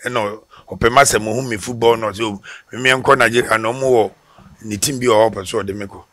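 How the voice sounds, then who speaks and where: Bright-voiced Ghanaian former footballer. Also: male voice, fairly low pitch, fast. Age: middle-aged. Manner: relaxed storytelling, gestures, hesitant restarts. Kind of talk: casual interview in Twi mixed with English. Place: outdoor porch by brick wall. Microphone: headset mic.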